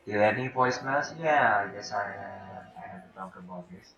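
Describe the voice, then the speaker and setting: A man's voice: fairly low pitch, brisk, fairly bright tone, dull audio. A man giving casual, hesitant video game commentary, thinking aloud in an echoey room. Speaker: video game let's player.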